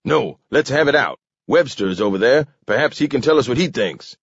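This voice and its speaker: A man voicing a hot-tempered, blustering alderman in a story, sputtering and shouting. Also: medium pitch, expressive, moderate pace, breathy edge, no echo, highs cut off, pitched above the performer's own voice. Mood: angry demanding.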